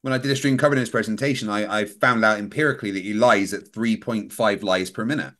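Middle-aged guy on a video call, low voice, earnest, halting a lot. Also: a bit bright. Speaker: co-host. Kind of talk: casual livestream chat.

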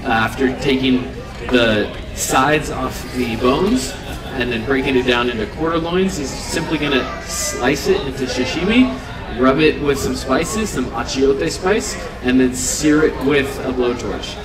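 A man's voice: medium pitch, a little slow, music in the background. A man in his thirties giving an earnest, unscripted demo talk to an audience at an outdoor event, with many fillers and restarts. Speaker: seafood company representative. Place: outdoor event stage under trees. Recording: roomy sound from far off with crowd.

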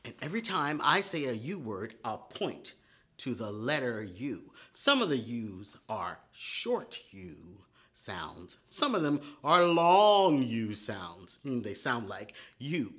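A middle-aged man hosting a preschool storytime, teaching and reading in a theatrical, drawn-out way with gasps and dramatic pauses. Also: mid-range voice, fairly bright tone. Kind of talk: children's storytime lesson with expressive poem reading. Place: indoor set with painted forest backdrop.

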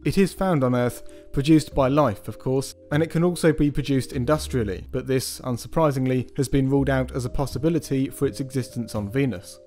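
A man narrating a scripted science news roundup in a measured, even voice-over. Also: mid-range voice, soft background music. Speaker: news presenter.

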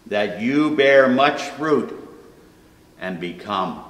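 A man in his seventies reading scripture solemnly, with deliberate, declamatory phrasing. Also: mid-range voice, expressive, fairly bright tone. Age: 70s. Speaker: scripture reader. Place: church sanctuary at a wooden pulpit, indoors. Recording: echoey hall with long reverb.

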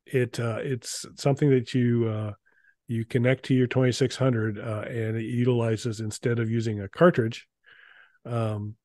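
A man's voice: medium pitch, flat delivery, normal speed, dark tone, no room sound. A middle-aged man hosts in a casual, unscripted way. He rambles and stops and starts with a wry touch. The sound is dry and close, with little room in it. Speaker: co-host of a retro gaming show. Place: small indoor room.

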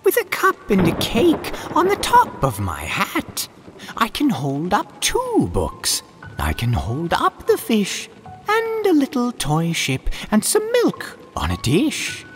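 A man's voice: high-pitched, wildly swooping pitch, slow pace, a bit bright, faint music behind, voice pushed up, heavily acted. A man voicing a mischievous, menacing cat trickster in a theatrical, gleeful way for a spooky storybook. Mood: manic showing off. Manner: theatrical, gleeful, chuckling between phrases. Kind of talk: spooky storybook read-aloud.

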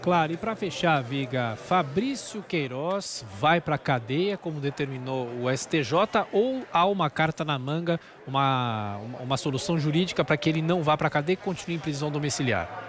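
Male studio anchor, slightly high voice, brisk questions to the field. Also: lively intonation. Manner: brisk, poses questions to reporter. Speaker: news anchor. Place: news studio.

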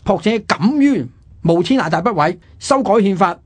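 A man's voice: high-pitched, animated, normal speed. A man with a Hong Kong Cantonese accent hosting a radio history talk, explaining emphatically and asking rhetorical questions. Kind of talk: conversational history lecture.

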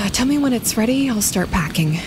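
An actress playing a practical, understated wildlife photographer on a winter camping trip, speaking naturally with hesitant pauses in an audio drama. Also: female voice, fairly high pitch, expressive, noisy background, natural voice. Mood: casual, subdued.

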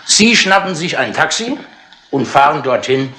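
An actor playing a wry, cajoling police inspector in a 1960s radio crime play, talking in a sardonic, persuasive way. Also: male voice, fairly high pitch, very animated, measured pace, bright, forceful tone, slight background noise, exaggerated voice. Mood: brisk, businesslike.

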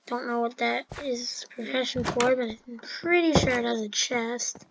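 A woman's voice: fairly high pitch, fairly even intonation, normal speed, gravelly, noisy background. A woman giving casual, chatty commentary over her own gameplay, with fillers and restarts. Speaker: gameplay narrator.

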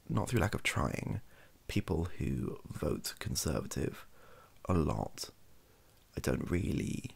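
A British man in his thirties speaking softly in an improvised, rambling monologue, halting often and correcting himself as he goes. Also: extremely low pitch, gravelly, husky. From United Kingdom. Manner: hesitant, halting, self-correcting. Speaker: ASMR video creator. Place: small indoor home room.

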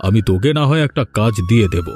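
A man's voice: on the low side, animated, fast talker, a little mellow, a little hiss or hum, pitched below the performer's own voice, lightly acted. Man acting a condescending village boss. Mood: condescending, calm. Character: well-off village gentleman, matter-of-fact employer. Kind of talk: Bengali cartoon voice acting.

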